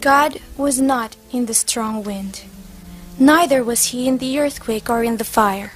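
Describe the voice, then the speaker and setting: A woman's voice: fairly high pitch, a little slow, soft background music, close to an everyday voice. A woman playing an earnest, disillusioned nun in a stage play, declaiming her lines. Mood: earnest, disapproving. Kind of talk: amateur stage play acting.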